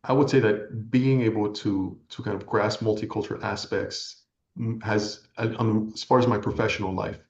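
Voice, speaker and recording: A man from California whose first language is Spanish, giving earnest, measured, reflective answers as an interview guest. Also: lowish voice, fairly even intonation. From California, United States. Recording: echoey room, slightly dull audio.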